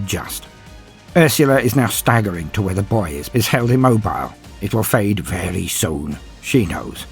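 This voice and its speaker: A man's voice: medium pitch, breathy edge. A man playing a grim, proud, dryly sardonic inquisitor, narrating a battle in a theatrical, brooding way as a first-person audio drama. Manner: theatrical, brooding, with weighty pauses.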